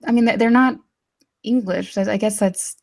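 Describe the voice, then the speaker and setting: A woman's voice: medium pitch, steady tone, normal speed, gravelly. A young woman from Texas chatting casually as a guest, hesitant with many fillers and restarts, and dryly sarcastic. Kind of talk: casual chat.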